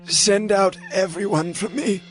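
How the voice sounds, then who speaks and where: A man's voice: sits high, fairly even intonation, a little slow, music in the background. A man playing a lovesick, scheming young prince in a dramatized audio Bible. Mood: feigned weakness, coaxing.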